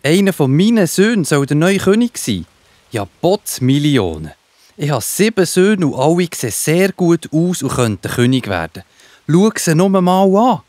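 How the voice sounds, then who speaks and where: Animated, highish Swiss family father acted by a man. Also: normal speed, dry recording. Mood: proud, excited. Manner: exclamatory, theatrical. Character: proud, excitable father of many sons. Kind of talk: children's Bible audio drama acting.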